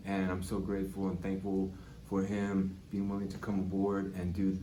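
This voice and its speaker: A young man hosting a casual podcast, eager and quick to exclaim at what his guest says. His voice sits low and soft-edged, and the room around him rings with echo. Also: monotone, far from the mic. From Bay Area, California. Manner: enthusiastic, exclaiming. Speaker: podcast host. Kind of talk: casual interview chat. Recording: echoey room with background noise.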